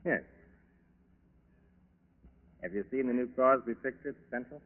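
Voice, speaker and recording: An actor playing a polite, cool-headed armed burglar in 1940s radio style, speaking courteously and unhurriedly. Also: male voice, mid-range voice, natural voice. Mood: calm, chatty. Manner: courteous, unhurried, chatty while menacing. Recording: muffled 1940s radio broadcast recording.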